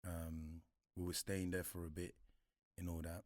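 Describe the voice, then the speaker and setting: Deep-voiced laid-back young London man. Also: moderate pace, no room sound, clean studio sound. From Congo. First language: French. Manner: relaxed, laid-back, many fillers like "you know what I'm saying". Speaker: guest. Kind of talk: casual storytelling interview.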